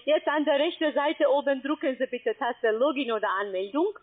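High-voiced woman on a tinny phone line. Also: moderate pace. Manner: instructing step by step, broken grammar. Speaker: scam call center agent. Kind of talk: phone call.

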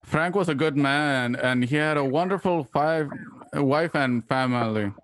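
A man playing a gentle, earnest and concerned frontier husband in a radio drama. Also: on the high side, unhurried, no echo, close to an everyday voice. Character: tender, concerned frontier husband who mixes in Spanish endearments.

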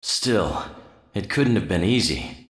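A man's voice: deep voice, expressive, takes it slowly. A man voicing an earnest, heroic young vampire hunter in a video game, speaking with emphatic sincerity. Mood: remorseful, sympathetic. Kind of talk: dramatic video game voice acting. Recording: echoey, reverberant game audio.

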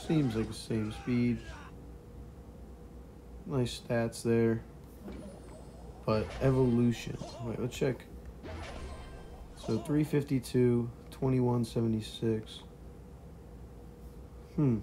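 A young man with a hoarse, partly lost voice, casually commentating a mobile strategy game and chuckling quietly as he plays. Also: medium pitch, slow pace, pauses often, slight background noise. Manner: relaxed, chuckles quietly while playing.

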